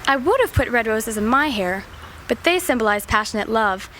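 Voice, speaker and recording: An actress playing a spirited, teasing teenage sister in an audio drama, with theatrical, mock-formal diction. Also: female voice, a little high, animated, normal speed, fairly bright tone, slight background noise. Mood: haughty, chatty.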